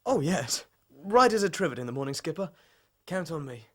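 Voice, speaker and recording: Casting: rattled young English varsity gentleman, evasive, well-mannered, jumpy wide intonation, radio play. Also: fairly high pitch, big pitch swings, normal speed. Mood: uneasy. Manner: polite, evasive, shaky reassurance. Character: agitated young gentleman athlete hiding his distress. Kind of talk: audio drama acting.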